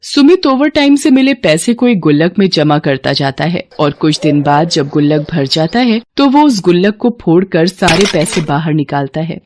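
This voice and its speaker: A woman's voice: fairly low pitch, lively intonation, moderate pace, hardly any pauses, a little mellow, slight background noise. A woman narrating a scripted moral story for children.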